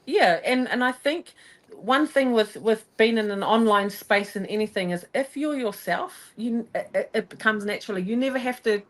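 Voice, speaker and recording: A woman's voice: on the high side, moderate pace. Chatty New woman. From New Zealand. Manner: energetic, rambling, self-interrupting. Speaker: podcast guest. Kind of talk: casual conversation.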